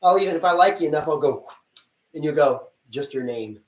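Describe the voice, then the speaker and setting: A man's voice: on the high side, lively intonation, fairly quick. Roomy, blunt casting director man. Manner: blunt, chatty, acts out little dialogues. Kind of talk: live-stream Q&A advice to actors. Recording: roomy sound from a distance.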